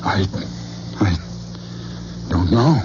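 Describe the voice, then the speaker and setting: An actor playing a fearful, brooding husband haunted by a past life, in the style of 1970s radio drama. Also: male voice, low-pitched, animated, extremely slow, heavily acted. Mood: bitter, defensive. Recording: dull, noisy old radio recording.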